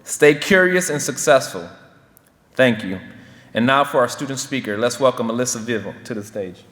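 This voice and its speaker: A man's voice: mid-range voice, animated, moderate pace, fairly bright tone. A man, a Spanish teacher, giving a formal, earnest ceremony speech to honor students. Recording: hall with long reverb.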